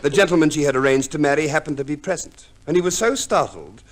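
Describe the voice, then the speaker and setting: An actor playing a fussy, shrewd divorce lawyer in a radio play, with a pedantic, precise manner and hesitant stammers. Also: male voice, fairly high pitch, fast talker, close to an everyday voice. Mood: relishing instruction. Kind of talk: 1950s radio play acting.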